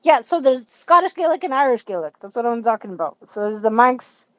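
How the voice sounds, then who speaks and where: Chatty young woman, slightly high voice. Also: very animated, fairly quick, dry recording, low-quality recording. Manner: chatty, eager, laughs often. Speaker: livestream co-host. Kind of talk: casual live chat.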